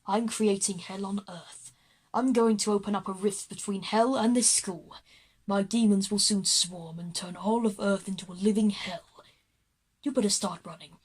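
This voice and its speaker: Woman voicing a slow, breathy, menacing male devil. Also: fairly even intonation, close to an everyday voice. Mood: gloating. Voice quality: deep, breathy. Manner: slow, grandiose, taunting. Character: menacing gloating male devil plotting hell on earth.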